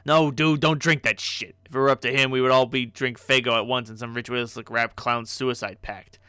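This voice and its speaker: A man's voice: mid-range voice, fairly even intonation, fairly quick, sharp tone, clean tone, no echo, natural voice. A man voicing a grumpy, bossy teenage male alien troll who rants and swears in exasperation. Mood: exasperated.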